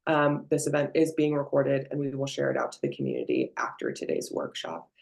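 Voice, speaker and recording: A moderator opens a webinar in a loose, casual way, often pausing with ums. The voice sits mid-pitch and has a strong gravelly fry. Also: sing-song. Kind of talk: event introduction.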